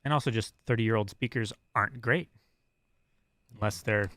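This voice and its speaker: A man's voice: on the low side, normal speed, a bit bright, no room sound. A man co-hosting a podcast in casual chat, laughing often and explaining with confidence. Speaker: podcast co-host.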